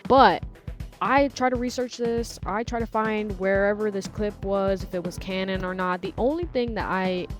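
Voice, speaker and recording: Female commentary host, medium-pitched, casual and opinionated, slangy delivery, faint music underneath. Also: moderate pace. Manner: informal, slangy.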